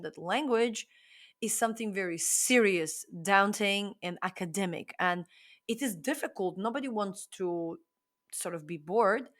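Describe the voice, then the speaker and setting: A woman co-hosting a casual podcast conversation, thinking aloud in a reflective way with frequent pauses. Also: mid-range voice. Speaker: podcast co-host.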